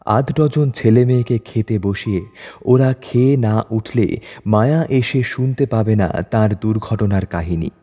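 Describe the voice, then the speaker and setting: A man's voice: lowish voice, unhurried, dark tone. A man narrating a literary short story from a script. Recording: long reverb over music bed.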